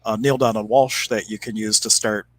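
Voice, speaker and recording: A man's voice: on the low side, steady tone, fairly quick, a bit bright. Friendly casual male co-host. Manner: friendly, loose, laughing easily. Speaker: co-host and shaman practitioner. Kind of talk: casual live-stream chat.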